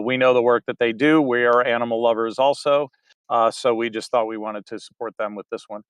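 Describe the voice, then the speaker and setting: A man's voice: mid-range voice, fairly bright tone, clean tone, no echo. A man, a theater director, chatting as an interview guest, wandering through his answers with lots of fillers and restarts. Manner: rambling, many fillers and restarts. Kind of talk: casual interview chat.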